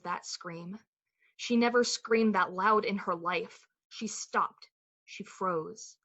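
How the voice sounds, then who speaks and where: A woman playing the narrator of a suspense radio play, speaking in a measured, dramatic storytelling style with a slightly husky voice. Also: mid-range voice, a bit bright, lo-fi sound. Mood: tense, hushed.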